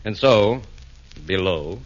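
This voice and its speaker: A man's voice: on the low side, animated, slow pace. A man narrating a rhyming comic radio drama in a theatrical, sing-song manner. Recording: dull old radio broadcast.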